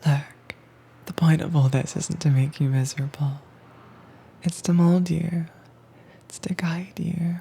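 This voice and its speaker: A woman playing a dominant, teasing mistress in an intimate roleplay audio, soft-spoken and coaxing but commanding, with a breathy, whispery voice. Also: deep voice, level tone, measured pace, pauses often, heavily acted. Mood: firm, teasing. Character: dominant, teasing mistress training her werewolf pet.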